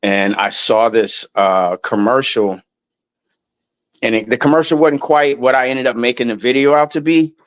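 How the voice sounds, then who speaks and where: A man's voice: medium pitch, moderate pace. A man in a casual interview, talking in a loose, rambling way with lots of restarts and some swearing. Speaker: guest musician.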